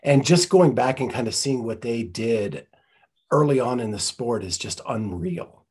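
Husky guy hosting a podcast, excited and friendly, talking into a studio mic. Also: medium pitch, unhurried. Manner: enthusiastic, friendly questions. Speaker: podcast host. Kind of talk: casual interview. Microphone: studio mic in front.

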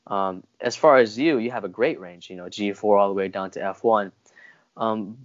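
A young man with a lowish voice hosts a casual interview. He words his questions haltingly and without a script. The audio is a little dull, as over a home video call. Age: young adult. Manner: halting, hesitant when framing questions. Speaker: interview host. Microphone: wired earphones on a video call.

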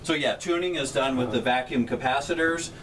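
A man's voice: mid-range voice, a little fast, a bit bright, slight background noise. Middle-aged male tech, plain-spoken, explaining machinery on a tour; distant camera mic, humming room. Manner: plain-spoken, technical, gestures at the equipment. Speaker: transmitter technician. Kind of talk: explaining equipment on a guided tour. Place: inside a metal equipment shelter, small group standing close. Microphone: camera held by a visitor a few feet away.

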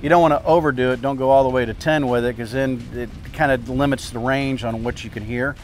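A man's voice: medium pitch, fairly even intonation, fast talker. An older man, a boat captain, giving casual instructional boating tips outdoors on a lapel mic. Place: outdoors at a marina by a parking lot. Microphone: clip-on lapel mic.

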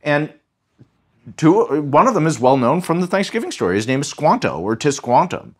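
A man's voice: on the high side, big pitch swings, normal speed, slightly rough. A man speaking as a history professor in an interview, explaining in an emphatic, articulate, scholarly way. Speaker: history professor, podcast guest.

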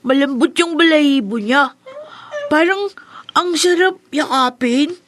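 Radio drama: curious, wistful Filipino boy, high voice, earnest and wondering. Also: unhurried, no echo. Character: gentle, wistful blind young boy, curious.

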